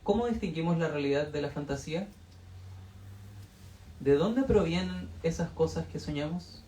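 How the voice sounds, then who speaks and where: A man moderating a book event, reading discussion questions to the audience in a relaxed, hesitant way with lots of fillers. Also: on the high side, moderate pace. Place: bookshop event room. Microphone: distant room mic. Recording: echoey room, voice far from mic, faint noise.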